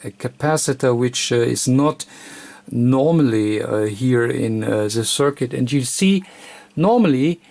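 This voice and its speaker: A man presenting an unscripted hands-on electronics tutorial, thinking aloud in a halting, hesitant way. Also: mid-range voice, lively intonation, vocal fry, darkish tone. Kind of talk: unscripted hands-on technical demonstration.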